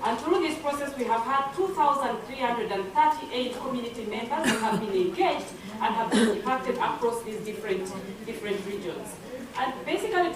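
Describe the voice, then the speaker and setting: A woman from Uganda, speaking as a panelist at a conference, hesitant with many fillers and repeated words. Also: on the high side. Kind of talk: panel presentation. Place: conference room. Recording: echoey hall, distant mic.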